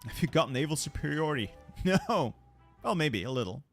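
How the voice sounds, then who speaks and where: A man's voice: on the high side, lively intonation, moderate pace, fairly bright tone, faint music behind. A man hosting a gaming channel, giving wry, playful live commentary on a strategy game, his voice slightly worn. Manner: wry, playful, jokey asides.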